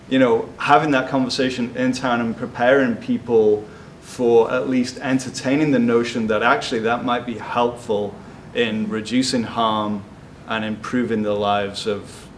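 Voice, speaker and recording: A man, a local select board member, making a measured civic comment from the audience. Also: mid-range voice, slight background noise. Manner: civic, measured, with some fillers.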